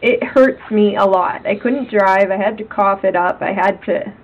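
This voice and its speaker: A woman with a raspy voice, co-hosting a casual podcast chat and talking in an emphatic, earnest way. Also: medium pitch, normal speed, muffled recording, a little hiss or hum. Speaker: podcast co-host.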